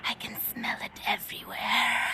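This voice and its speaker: An actress playing a distraught, hungry, guilt-ridden young female vampire in a video game, with breathy, halting, anguished delivery. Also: medium pitch, steady tone, pushed and bright, in a whisper. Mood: ravenous.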